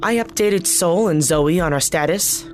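A woman's voice: on the low side, measured pace, lightly acted. A woman playing an efficient, dryly superior teammate in a fiction podcast, with a businesslike manner. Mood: dry, smug. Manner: dry, businesslike. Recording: music underneath.

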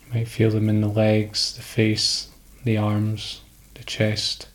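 A man guiding a sleep meditation in calm, instructional short phrases with pauses between them. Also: on the low side. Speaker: meditation guide.

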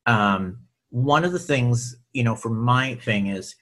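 A middle-aged man talks as a guest on a show, earnest and reflective. His voice is bright and a little husky. He circles back over his points as he thinks them through. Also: mid-range voice, lively intonation, takes it slowly. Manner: earnest, reflective, repeats himself while he thinks. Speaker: singer and guest. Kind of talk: casual conversation.

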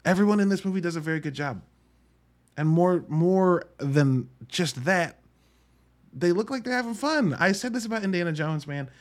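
A man's voice: sits high, lively intonation, moderate pace. A man hosting a casual solo movie review podcast, talking in an informal, slangy, emphatic way with some swearing. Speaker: podcast host.

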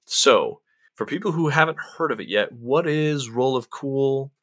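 A man's voice: medium pitch, a little slow, no room sound. A man hosting a casual interview, asking friendly, chatty questions. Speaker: podcast host.

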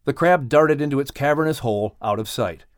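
A man narrating a scripted children's story as an audiobook reader, with expressive delivery. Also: medium pitch, a little fast, dry recording, clean studio sound.

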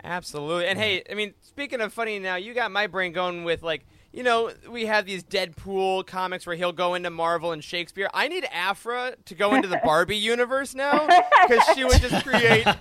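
A woman co-host chats casually in a bright, forward voice. She is enthusiastic and jokey when she chimes in, and she is recorded dry and close, without room sound. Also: medium pitch, a little fast, few pauses, no echo. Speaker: podcast co-host. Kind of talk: casual chat.

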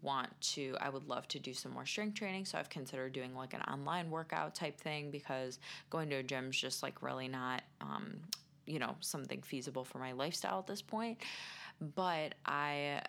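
A woman's voice: rumbling low, moderate pace, creaky, fairly bright tone. A woman chatting casually on a podcast, talking reflectively about her own experience. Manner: reflective, sharing personal experience. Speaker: podcast speaker on health and nutrition. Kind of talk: casual conversational chat.

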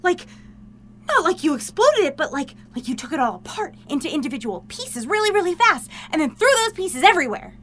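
An excitable, loyal young woman in an audio drama, with a high, bright voice. She is informal and earnest, pushing and coaxing like a caring confidante. There is faint noise behind her. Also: fairly quick. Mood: amazed, thrilled. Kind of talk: modern audio drama acting.

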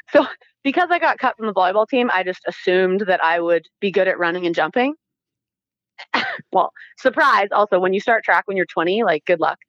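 Animated Canadian woman, slightly muffled sound. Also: medium pitch, moderate pace, dry recording. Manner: animated, informal, acts out quoted dialogue. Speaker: interview guest, former Olympic athlete. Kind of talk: casual conversational storytelling.

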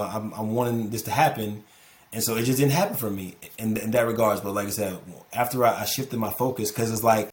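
A man in his thirties, a guest on a podcast, talking reflectively with many repeated words. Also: lowish voice. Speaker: podcast guest, music producer. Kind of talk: casual interview chat.

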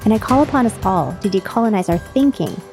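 A woman's voice: mid-range voice, lively intonation, fast, a little mellow, soft background music. Earnest woman hosting a podcast. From China. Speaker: podcast host. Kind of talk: podcast interview.

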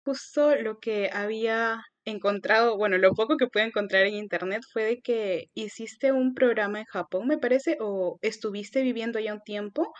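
A woman's voice: fairly high pitch, normal speed, few pauses, no room sound, highs cut off. A woman in her twenties hosting a student podcast, reading polite scripted introductions and asking questions. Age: 20s.

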